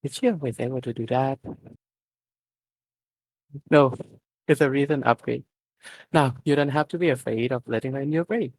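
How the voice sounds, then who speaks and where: A man's voice: mid-range voice, normal speed, pauses often, no room sound, rough recording. A man doing an informal live amateur reading of a story, stumbling over words now and then. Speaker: reader of fan fiction at an online readalong.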